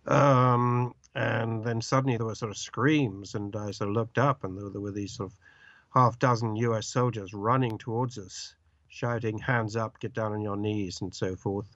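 A British journalist in an interview, an older man who speaks haltingly and searches for words, with many uh's. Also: fairly low pitch, fairly even intonation, a bit bright, no room sound. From Britain. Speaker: journalist guest. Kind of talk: interview over the phone. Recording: dull lo-fi line, dry.

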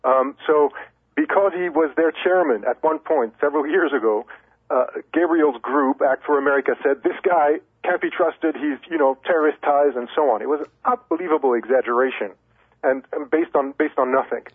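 Man, phone-in radio guest, husky tone, measured and explanatory over a tinny line. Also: a little high, dry recording. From Lebanon. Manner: measured, explanatory, many restarts. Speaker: journalist guest.

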